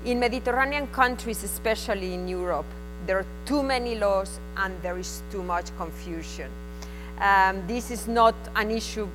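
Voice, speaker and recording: A Spanish-accented woman presenting to a conference audience at a middling pitch. She sounds frank and conversational, and her delivery comes in short bursts between pauses. Faint music sits under her voice. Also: fairly bright tone, poor audio. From Spain. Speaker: invited conference speaker. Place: conference hall.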